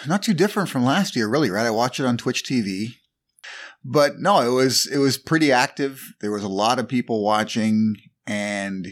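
A man co-hosting a sports podcast, chatting in an easygoing, conversational way. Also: medium pitch. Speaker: podcast co-host. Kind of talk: casual sports podcast chat.

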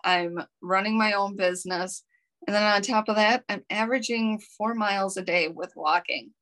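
A woman's voice: mid-range voice, fairly bright tone. Earnest Minnesotan woman. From Minnesota, United States. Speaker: podcast co-host. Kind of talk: casual podcast chat.

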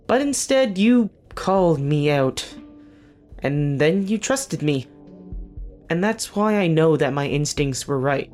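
A weary, guarded veteran boss played by an actor in a fiction podcast, with a mid-pitched voice over music. The delivery is earnest and naturalistic, halting and self-correcting, with a dry wit. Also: close to an everyday voice. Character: weary, earnest, guarded veteran team leader in a government system. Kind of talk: naturalistic audio drama dialogue.

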